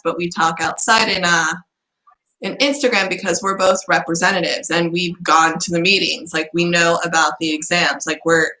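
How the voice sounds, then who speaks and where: A young woman talking casually to camera as a student vlogger. Her voice is bright and forward, and it is getting a little worn as she starts to lose it. She rambles in a frustrated, ranting way. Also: lowish voice, roomy sound, poor audio. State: losing her voice. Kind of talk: casual vlog chat.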